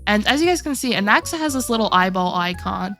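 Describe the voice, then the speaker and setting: A woman with a sore throat hosting a gaming video, calmly walking viewers through a character tier list. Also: medium pitch, fast, faint music behind. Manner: steady explanatory walkthrough, addressing viewers directly. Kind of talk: game tier list commentary.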